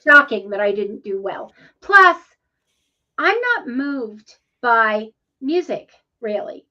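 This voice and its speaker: A woman's voice: fairly high pitch, big pitch swings, slow, bright, forceful tone. Chatty woman, muffled audio. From Ohio, USA. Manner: chatty, rambling, with many ums. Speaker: podcast co-host. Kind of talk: casual interview conversation.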